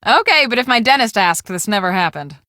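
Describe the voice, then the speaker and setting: An actress playing a brisk, bossy young woman on a storm-chasing team in a video game, speaking emphatically and expressively. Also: mid-range voice, sing-song, bright, forceful tone, no room sound, clean studio sound. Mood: playful, teasing. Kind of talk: scripted video game dialogue.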